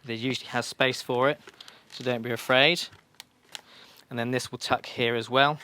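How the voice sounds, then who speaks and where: Bright-voiced man giving a hands-on tutorial. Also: medium pitch, steady tone, moderate pace, slight background noise. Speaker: motorcycle shop staff giving a fitting tutorial. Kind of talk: hands-on instructional demonstration, informal.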